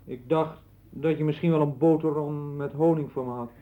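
An actor playing a meek visiting friend who answers briefly in a 1960s Dutch radio play. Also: male voice, on the high side, steady tone, moderate pace, lightly acted. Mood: wary, curt. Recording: tinny, band-limited 1960s radio recording.

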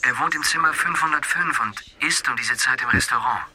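A man's voice: medium pitch, fairly quick, sharp tone, breathy edge, faint music behind. A man playing a discreet, formal hotel clerk in a radio drama, courteous and addressing people as sir. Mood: worried.

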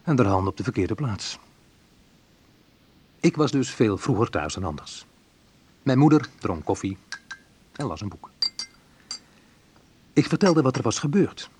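An actor playing a wistful man recounting his youth in a reflective, measured radio play narration, with a slightly husky voice. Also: medium pitch, sing-song, a little mellow, a little hiss or hum. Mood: wry, hurt. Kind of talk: literary first-person radio play narration.

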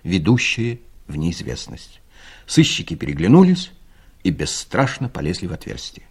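A man's voice: medium pitch, darkish tone. A man narrating a scripted comic story as the storyteller of an audio play.

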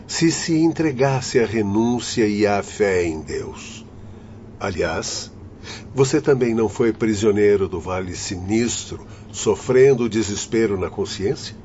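A man acting a firm, patient doctor in a radio drama, speaking in a measured, formal, instructive way. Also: lowish voice, darkish tone, lightly acted. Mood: admonishing. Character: firm, patient doctor guiding troubled spirits. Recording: dull, noisy lo-fi audio.

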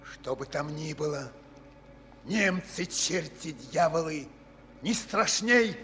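An agitated soldier in an old war radio play. His raised voice comes slowly through long reverb, with music clearly behind it. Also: fairly high pitch, animated, sharp tone, full character voice. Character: agitated soldier cursing the enemy under fire. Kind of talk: mid-century radio drama acting.

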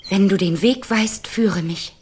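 An actress playing a dreamy but plucky fifteen-year-old princess in a radio fairy tale, gentle and youthful. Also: female voice, medium pitch, measured pace, a little hiss or hum, close to an everyday voice. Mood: hopeful, resolute.

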